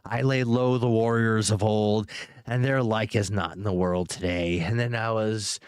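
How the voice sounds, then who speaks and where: A vast, ancient dragon speaks slowly and menacingly, as a man reads him aloud. He gloats over his own strength in a boastful drawl. The sound is dry and close. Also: lowish voice, steady tone, clear, resonant tone, no echo, close to an everyday voice. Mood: gloating. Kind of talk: audiobook reading.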